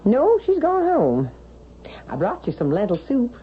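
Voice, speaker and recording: An actress playing a bossy, fussing widowed cook in a 1970s radio drama, bustling and coaxing. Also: female voice, on the high side, wildly swooping pitch, unhurried. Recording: muffled, hissy vintage radio.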